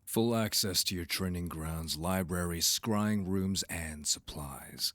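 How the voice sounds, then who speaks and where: A man playing a cold, haughty vampire council envoy in an audio drama, curt, formal and disdainful, with a husky voice. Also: low-pitched, slow, fairly bright tone, dry recording, studio quality. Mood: curt, suspicious. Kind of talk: audio roleplay drama acting.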